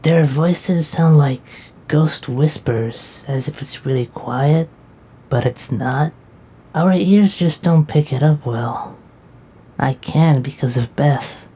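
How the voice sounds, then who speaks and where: A drowsy, protective young knight, played by a woman, with a low, husky voice. She talks slowly and softly, with a dark, mellow tone and faint music behind. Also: exaggerated voice. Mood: tired. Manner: drowsy, soft and affectionate. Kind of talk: casual roleplay dialogue.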